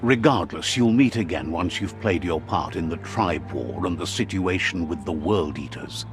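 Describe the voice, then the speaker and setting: A middle-aged man narrating a game in a measured, wise storytelling manner. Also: sits low, music in the background.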